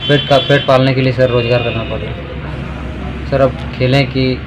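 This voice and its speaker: A man speaking earnestly in a halting way during a news field interview, often saying "sir". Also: medium pitch, level tone, noisy background.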